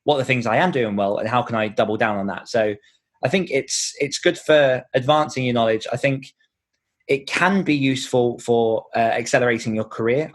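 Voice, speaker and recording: A man giving earnest, explanatory answers in a conversational podcast interview, thinking aloud as he goes. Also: mid-range voice. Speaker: podcast host and author being interviewed.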